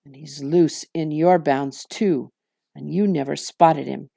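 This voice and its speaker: Woman doing a sardonic, slow-talking orc captain. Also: deep voice, fairly even intonation, gravelly, warm tone, no echo, studio quality, voice pushed down, exaggerated voice. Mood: sardonic warning. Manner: sardonic, needling. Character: sly, mocking, gloomy male orc captain.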